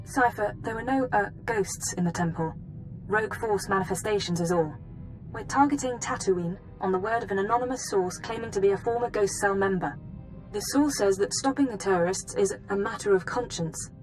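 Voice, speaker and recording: A woman's voice: mid-range voice, fairly quick, close to an everyday voice, poor audio. Game casting: woman playing a composed female intelligence officer, formal and clipped, mid-range voice over music. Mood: brisk, businesslike. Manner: clipped, formal, matter-of-fact. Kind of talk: video game dialogue, mission briefing.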